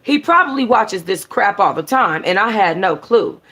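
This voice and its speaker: A woman reading a novel aloud in an expressive, conversational, sassy way with casual slangy asides, as a book club host. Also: medium pitch, moderate pace, breathy edge. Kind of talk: expressive first-person audiobook-style reading with casual asides.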